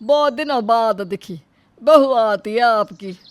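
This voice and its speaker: A woman's voice: a little high, slow pace, dry recording. A woman playing a blunt, gossiping male vegetable seller in a story, with rustic, familiar talk. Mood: gossipy.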